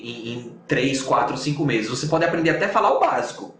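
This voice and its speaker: A man's voice: mid-range voice, animated, a little fast, crowd noise. A man with a northeastern Brazilian accent, explaining things as an English teacher in a casual online lesson, often repeating points for emphasis. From Natal, northeastern Brazil. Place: indoors on a Skype video call. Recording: roomy echo with faint noise.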